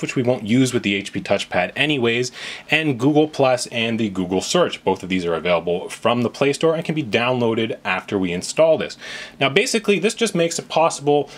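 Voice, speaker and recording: A man with a mid-pitched voice narrates an instructional tech walkthrough. He explains each point as a tutorial host would, with a reassuring way of putting things. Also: fairly bright tone.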